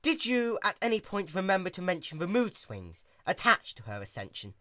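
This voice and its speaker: A performer voicing a regal female pony, a grave and weary sister with measured, formal speech, in a storybook reading. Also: medium pitch, very animated, sharp tone, dry recording, clean studio sound, voice pushed up. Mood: grave concern.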